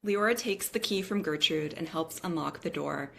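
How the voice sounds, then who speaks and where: A woman with a lowish voice narrates a script at a table read. She reads out the stage directions between the character parts. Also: normal speed, creaky, slight background noise.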